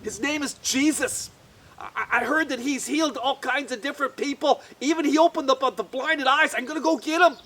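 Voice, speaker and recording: A woman's voice: sits high, moderate pace, a little hiss or hum. A woman voicing a desperate father who leads a synagogue, stammering and urgent, in a children's Bible story. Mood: worried. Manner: stammering, urgent, rising to loud pleading. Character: desperate middle-aged father, synagogue leader, pleading.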